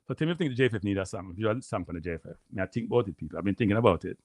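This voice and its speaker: Man, Jamaican accent, deep voice, rambling livestream chat, repeats phrases, dry close mic. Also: expressive, very fast, a little mellow, no echo. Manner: rambling, repeats phrases, addresses viewers as people. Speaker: live stream host.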